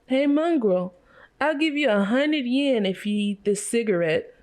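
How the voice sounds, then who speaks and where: A woman's voice: on the high side, animated, measured pace, darkish tone. A woman playing a sneering, contemptuous gangster underling in a fan-made motion comic, with a mocking delivery.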